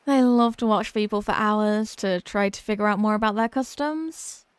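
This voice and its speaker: A woman's voice: medium pitch, moderate pace, clean tone, no echo, close to an everyday voice. A woman playing an obsessive, haughty young mermaid princess in a roleplay ASMR drama, sweetly smug and condescending. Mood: haughty, amused.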